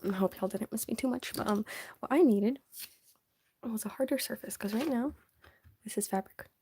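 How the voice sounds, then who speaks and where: Young woman on a drawing stream, kinda hoarse and sniffly, mumbly half-whisper, bit high voice. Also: moderate pace, slight background noise. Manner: soft, often half-whispered, hesitant and rambling. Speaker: live-streaming artist. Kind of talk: casual live stream chat while drawing.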